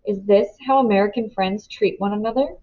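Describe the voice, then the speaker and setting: Woman doing expressive kids book read aloud, medium pitch, slightly muffled lo-fi recording. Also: fairly quick. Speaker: storybook reader. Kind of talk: expressive children's audiobook narration.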